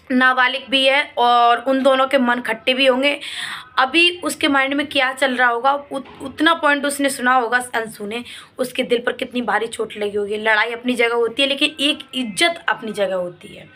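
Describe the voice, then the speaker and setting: High, bright-voiced woman giving emphatic commentary. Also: moderate pace. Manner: emphatic, reproachful, repeats points. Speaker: vlogger. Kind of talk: opinion commentary addressed to viewers.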